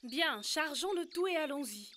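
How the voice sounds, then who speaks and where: A woman voicing a cheerful, eager child helper in a French-dubbed educational cartoon, lively and earnest. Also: sits high, moderate pace, faint music behind. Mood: upbeat.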